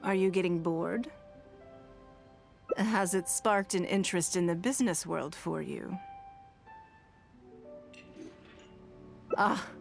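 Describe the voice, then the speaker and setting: A woman voicing a composed, shrewd, wealthy business lady in a video game, speaking in a measured, elegant, formal way. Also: mid-range voice, close to an everyday voice. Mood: gracious, gently teasing. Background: background music.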